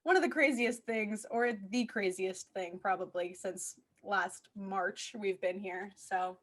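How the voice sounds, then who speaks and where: A woman's voice: medium pitch, a little slow, a bit bright. A woman hosting a podcast interview in a casual, chatty and informal way, with plenty of slangy filler. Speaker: podcast host and children's theater director.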